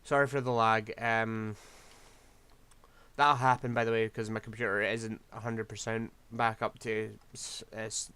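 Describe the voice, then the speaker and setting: A man's voice: lowish voice, flat delivery, measured pace, sharp tone, clean tone, dry recording. A man, rambling through casual gameplay commentary while losing his voice. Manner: rambling, scatterbrained, self-deprecating. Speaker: game streamer.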